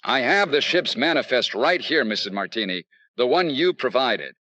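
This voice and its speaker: An actor playing a confident insurance investigator and wry narrator in old-time radio detective style, polite but firm. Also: male voice, mid-range voice, lively intonation, normal speed, pushed and bright, no echo, dull audio.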